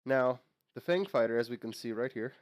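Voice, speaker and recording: A man's voice: mid-range voice, brisk, dry recording. A young man hosting his own channel, sounding nasal from a cold, chatting casually and rambling with fillers and restarts. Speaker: host. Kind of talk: casual unboxing and lore talk.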